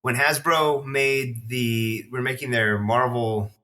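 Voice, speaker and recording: A man's voice: medium pitch, fairly even intonation, slow, sharp tone. A man in a casual podcast chat, talking in a rambling, slangy, enthusiastic way as a collector guest.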